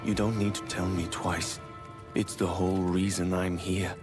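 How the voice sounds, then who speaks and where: An actor playing a grim, resolute warrior sworn to vengeance in a fantasy game, speaking in a terse, stern manner. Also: male voice, low-pitched, little pitch movement, normal speed, over music, natural voice. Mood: grim resolve. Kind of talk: video game character dialogue.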